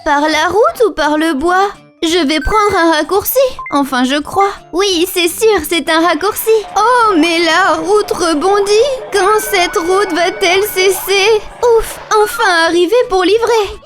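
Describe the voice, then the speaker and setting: A woman voicing a cheerful, playful young boy lion cub, singing rhymed lines in a cartoon, over loud children's music. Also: very high voice, unhurried, hardly any pauses. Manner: sing-song rhyming lines. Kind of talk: sung cartoon narration.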